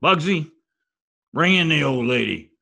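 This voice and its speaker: Casting: male actor as gruff mob boss, sharp bright edge with some fry, slangy and bullying, 1940s radio style. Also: a little high, expressive, unhurried, full character voice. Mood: demanding. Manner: tough, slangy, forceful. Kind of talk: 1940s radio crime drama acting. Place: home, recorded over video call.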